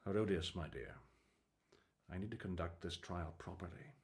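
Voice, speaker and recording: A man's voice: deep voice, moderate pace, a little mellow, close to an everyday voice. An actor playing a cautious, exasperated king in a biblical radio drama, authoritative yet placating.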